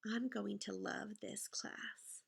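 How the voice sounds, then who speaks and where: A woman's voice: medium pitch, fairly even intonation, takes it slowly, gravelly, voice pushed down. A woman reading as an anxious storybook schoolteacher bracing for her class, with dramatic delivery. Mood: relieved, touched.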